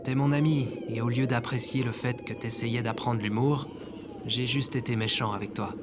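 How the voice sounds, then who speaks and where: Man acting a regular sheepish guy, mid pitch, casual talk, audio drama, noisy background. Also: fairly even intonation, normal speed, lightly acted. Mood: apologetic. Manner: casual, colloquial. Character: impulsive, remorseful ordinary guy, last human survivor. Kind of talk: scripted audio fiction acting.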